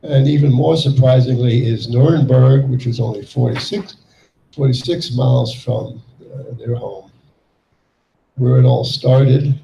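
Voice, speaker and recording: Gravelly older man in an echoey hall. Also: medium pitch, fairly even intonation, mellow, muffled recording, a little hiss or hum. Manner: halting, many fillers. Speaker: guest speaker, child refugee. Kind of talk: public talk with slides. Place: lecture hall before an audience.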